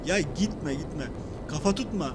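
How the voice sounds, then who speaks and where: A man giving excited, casual play-along commentary for a children's toy video, often repeating short phrases. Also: a little high, measured pace, very noisy.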